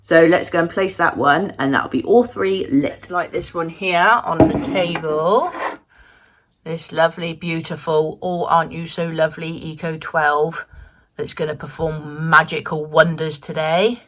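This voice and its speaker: A middle-aged woman, her nose blocked from a cold, chats brightly to her viewers from home. Her voice sits a little low, and she jokes at her own expense as she goes. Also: steady tone, a little slow. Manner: bubbly, self-deprecating, talks to viewers. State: congested with a cold, sniffly. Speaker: candle-making vlogger. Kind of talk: chatty home vlog. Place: at home, moving between rooms.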